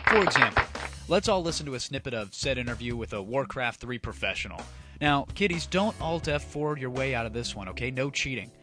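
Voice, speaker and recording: A man's voice: mid-range voice, expressive, fairly quick. A man hosting a comedy audio show, addressing listeners directly with sarcastic, grandiose commentary. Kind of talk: mock-dramatic radio-style commentary over music. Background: music.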